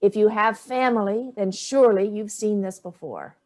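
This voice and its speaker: An imperious grandmother , played by a woman in a staged play reading. She speaks in a mid-pitched voice with a dry, pointed edge, lofty and cutting. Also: normal speed, darkish tone, natural voice.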